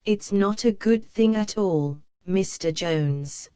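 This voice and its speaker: A woman reading a children's picture book aloud, slow and careful for young learners. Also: mid-range voice, music in the background. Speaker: storybook reader.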